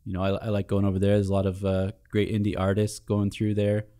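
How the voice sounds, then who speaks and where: A man co-hosting a studio podcast, talking in a relaxed, hesitant way with many fillers. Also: fairly low pitch, quick pace, clear, resonant tone. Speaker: podcast co-host. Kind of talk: casual chat.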